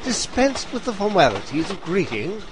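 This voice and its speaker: Guy doing a pompous fussy detective, high voice swooping all over, music behind. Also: huge pitch swings, moderate pace, background noise. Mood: fussy, impatient. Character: brisk, pompous, fussy English great detective. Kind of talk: audio comedy drama acting.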